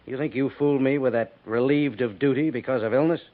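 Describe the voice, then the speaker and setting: An actor playing a gruff, skeptical cavalry major, brisk and authoritative, in 1950s radio drama style. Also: male voice, mid-range voice, lightly acted. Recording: tinny narrow-band 1950s radio recording.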